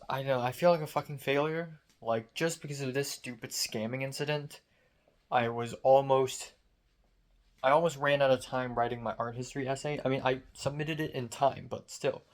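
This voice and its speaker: A young man from Hong Kong with a sore, strained throat, talking into the camera late at night. He rants sarcastically in a mid-pitched voice, swearing often and mocking himself. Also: steady tone, normal speed. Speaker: vlogger. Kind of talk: late-night diary vlog.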